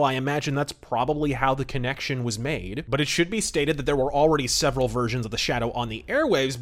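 Articulate man giving wry history commentary. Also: mid-range voice. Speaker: podcast host. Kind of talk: informal narrated history commentary.

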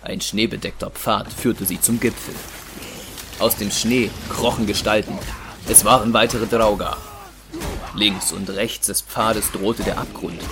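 A man's voice: lowish voice, fairly even intonation, a little slow, music in the background. A man reading scripted narration for a fantasy audio drama as its narrator.

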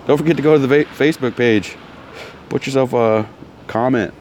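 A man's voice: mid-range voice, normal speed, a little mellow, slight background noise. A man ranting in a casual monologue, blunt and heated, repeating himself, his throat still recovering from soreness. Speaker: vlogger.

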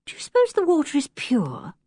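A woman's voice: sits high, huge pitch swings, brisk, warm tone, no room sound, studio quality, voice pushed up. Woman doing a curious, fussy little girl. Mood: wondering. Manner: eager and wondering, easily frightened.

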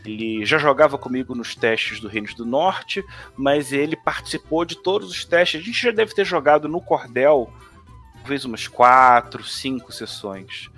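A man's voice: mid-range voice, measured pace, a bit bright, soft background music. A man with a Northeastern Brazilian accent, a tabletop game designer chatting casually and rambling with many restarts. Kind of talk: casual conversation on a live stream.

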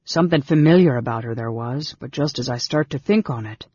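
A man voicing a gruff, weary innkeeper in a fantasy audiobook, with blunt, folksy rustic speech. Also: mid-range voice, brisk, a little mellow, no room sound, lo-fi sound, close to an everyday voice. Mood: sad, blunt.

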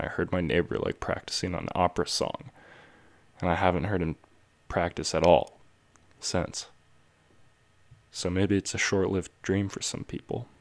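A man with a very low, deep voice chats casually between tracks on his music show. He rambles and makes light of himself, keeping the talk loose and easy. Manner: relaxed, rambling, self-joking. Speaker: radio show host.

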